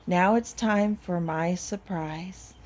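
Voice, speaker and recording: A woman voicing a kind, helpful little hedgehog in a storybook, gently reassuring. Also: lowish voice, slow.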